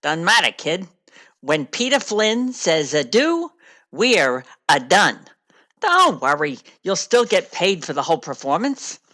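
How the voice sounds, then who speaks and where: An actress playing a wry, world-weary female stage manager in a radio play, brisk and sardonic. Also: lowish voice, very animated, a bit bright, exaggerated voice. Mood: matter-of-fact.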